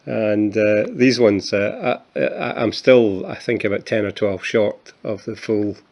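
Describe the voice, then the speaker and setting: A man in his fifties with a Scottish accent talks in a fairly low voice, chatty and self-deprecating, often stumbling over his words. The recording is dull and lo-fi, like a home camera mic. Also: a little slow, a little mellow. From Scotland. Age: 50s. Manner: chatty, self-mocking, many fillers and restarts. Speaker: coin collector. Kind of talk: casual show-and-tell vlog.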